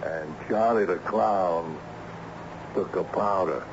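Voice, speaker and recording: An actor playing a slangy, laconic, streetwise sidekick in a vintage radio drama. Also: male voice, low voice, slow pace. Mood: laconic, coy. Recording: noisy, muffled old radio broadcast.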